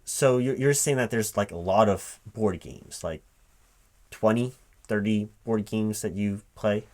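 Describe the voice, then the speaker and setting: A man speaking aloud in a one-sided job interview ASMR roleplay as a recruiting manager, halting and puzzled, often repeating things to check them. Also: fairly low pitch, a little mellow. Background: computer keyboard typing.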